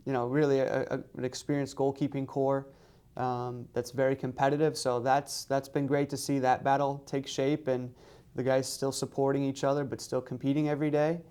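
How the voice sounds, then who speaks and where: A man speaking as a head coach in an interview, in halting phrases with repeated words. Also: medium pitch, darkish tone, clear, resonant tone. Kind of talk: media day interview answers.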